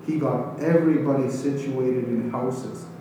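Guy talking real slow n deliberate, super echoey, music behind him. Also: medium pitch, fairly even intonation. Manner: unhurried, deliberate. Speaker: guest storyteller. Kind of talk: casual answers and slow, simple storytelling. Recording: roomy, distant, music behind.

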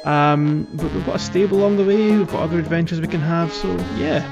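A man's voice: fairly high pitch, a little fast. A man chatting casually as the co-host of a podcast. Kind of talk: casual chat. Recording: dull lo-fi audio, faint music.

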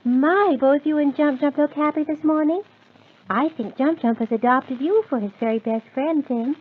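A woman's voice: high-pitched, normal speed, slight background noise, lightly acted. A woman playing a cheerful, motherly lady of the house in an old-time children's radio show. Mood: cheerful, fond. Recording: tinny, phone-like old radio recording.

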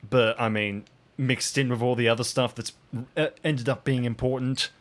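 A man's voice: medium pitch, steady tone, normal speed. A man playing in an improvised audio drama, thinking aloud hesitantly. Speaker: player.

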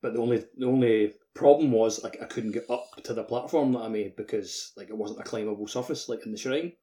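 A man with a fairly low voice in casual gaming conversation. He talks as a podcast co-host in a halting, informal way full of filler words. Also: mellow. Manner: halting, peppered with "like". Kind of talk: casual video game chat.

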